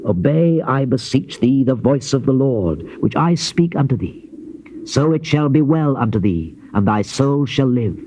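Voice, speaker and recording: A man giving a solemn, theatrical, measured reading of dramatized scripture in archaic English, on an old cassette tape. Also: mid-range voice, expressive, dark tone, a little hiss or hum, rough recording. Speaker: scripture narrator playing the prophet Jeremiah. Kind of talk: dramatized King James Bible reading.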